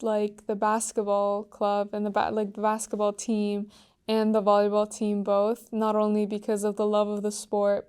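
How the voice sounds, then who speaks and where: Earnest teenage girl, very flat delivery. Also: medium pitch, clean tone. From Iran. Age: teens. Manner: earnest, soft-spoken, with frequent "like" and "um" fillers. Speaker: interviewee. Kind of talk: casual interview answers.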